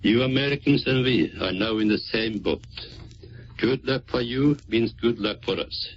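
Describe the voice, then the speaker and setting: Norwegian soldier on muffled 1940s radio. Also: male voice, medium pitch, normal speed, slight background noise. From Norway. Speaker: Norwegian Army serviceman. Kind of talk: scripted 1940s wartime radio address.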